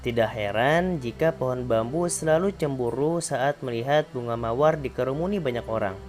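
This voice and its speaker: A male primary school teacher reading a children's fable aloud in a calm, clear voice for a remote class. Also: medium pitch, moderate pace, soft background music. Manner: calm, clear storybook narration.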